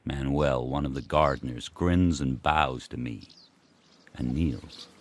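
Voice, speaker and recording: Man voicing a huge, very deep-voiced proud dog. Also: fairly even intonation, slow, noisy background. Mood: proud, stately. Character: huge proud male dog narrating, brooding and vengeful. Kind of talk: dramatic first-person radio drama narration.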